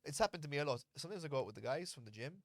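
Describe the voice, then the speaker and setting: A man's voice: fairly high pitch, animated, very fast, dry recording, clean studio sound. A man, a podcast host and fight coach, talking frankly and at length in casual conversation and swearing freely. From Malta. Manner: blunt, earnest, explains at length, swears freely.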